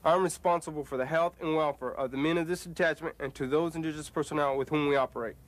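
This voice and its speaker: Male army medic, formal memorized spiel, really fast, slightly high voice. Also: fairly even intonation, poor audio. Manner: formal, recited. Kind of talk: formal scripted self-introduction.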